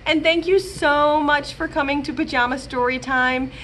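A woman in her thirties, a children's librarian, reading a picture book aloud and leading songs for young children in a gentle, sing-song, expressive way, filmed on a webcam in a small room. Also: high voice, moderate pace, a little hiss or hum. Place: small room with bookshelves, indoors. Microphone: webcam at close range.

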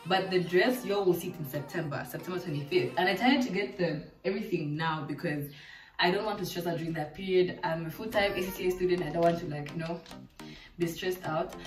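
A nineteen-year-old woman from Zambia, chatting casually and excitedly through a clothing try-on haul in her living room. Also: fairly low pitch, fast talker. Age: late teens. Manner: chatty, bubbly, lots of fillers. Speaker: vlogger. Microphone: camera mic from across the room. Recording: echoey room, distant mic, faint music.

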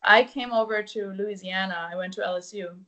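A woman's voice: medium pitch, steady tone, a little fast, pushed and bright, rough recording. German-accented young woman on a video call. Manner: open, reflective, candid storytelling. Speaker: musician guest. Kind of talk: casual interview chat. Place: indoors at home.

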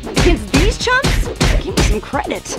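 High-pitched, cocky female fighter. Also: sing-song, unhurried, full character voice. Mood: cocky, scornful. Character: Cat, cocky, playful female costumed fighter. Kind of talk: video game voice acting. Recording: game audio under loud music and crashes.

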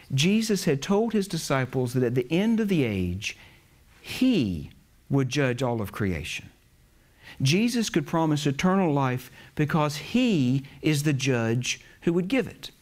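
A young man preaching a chapel sermon from a pulpit, earnest and emphatic, leaning on key words. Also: on the high side.

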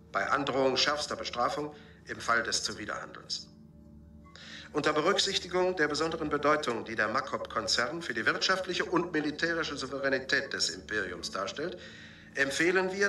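A stern male government official in a science-fiction radio play. The voice is mid-pitched and very forceful. He delivers official text with formal emphasis in a roomy studio space. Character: stern government senator reading official crisis memoranda. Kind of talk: formal read-out in a radio drama. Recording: roomy binaural studio sound.

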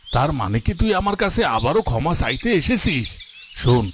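A man's voice: medium pitch, very animated, a little fast, fairly bright tone, soft background music. A man playing a stern, grudgingly forgiving master in a cartoon fairy tale, talking in a scolding way. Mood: annoyed, brusque.

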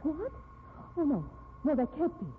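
A woman's voice: high voice, big pitch swings, moderate pace. An actress playing a haughty, self-possessed married society woman with a grand manner in a 1950s radio mystery. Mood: alarmed. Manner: grand, affronted. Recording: tinny, noisy old radio recording.

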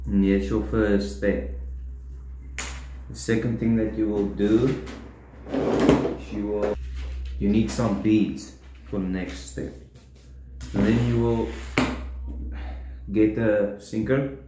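Slow, low young man tying tackle. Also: level tone. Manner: halting, plain instructions while tying tackle. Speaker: fishing tutorial host. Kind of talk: step-by-step demonstration. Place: indoors at a wooden table, echoey room. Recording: roomy echo, faint room noise.

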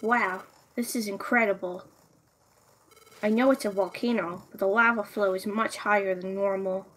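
A woman voicing a small, earnest, excitable young water creature in an expressive way for a game story. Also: mid-range voice, normal speed, close to an everyday voice, poor audio. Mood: awed. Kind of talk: dramatic fan voice acting for a game story.

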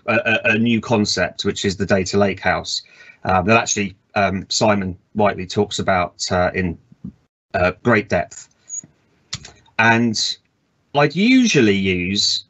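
Relaxed man presenting slides. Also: lowish voice. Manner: relaxed explaining with frequent ums and uhs. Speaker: conference presenter. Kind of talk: technical presentation over slides.

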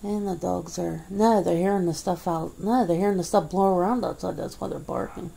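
A woman's voice: lowish voice, fairly even intonation, fairly quick, vocal fry, dark tone, slight background noise. A woman doing an ASMR roleplay at home, rambling chattily to an unseen partner with frequent laughs and fillers. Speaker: ASMR creator. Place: indoors at home.

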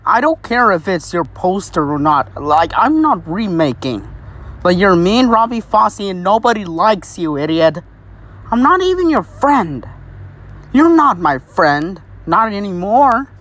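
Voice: medium pitch, fairly bright tone, full character voice. A performer voicing an exasperated, scolding young cartoon character in casual speech.

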